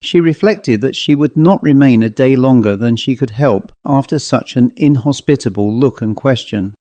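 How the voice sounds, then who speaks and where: A man's voice: mid-range voice, moderate pace, dark tone, no room sound. A man reading a literary ghost story aloud as a scripted audiobook narrator.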